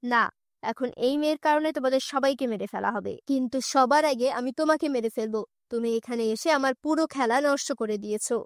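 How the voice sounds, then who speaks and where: A woman voicing a menacing male kidnapper villain in a cartoon, with a gruff, threatening manner. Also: sits high, brisk, no echo, clean studio sound, pitched below the performer's own voice. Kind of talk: cartoon dubbing.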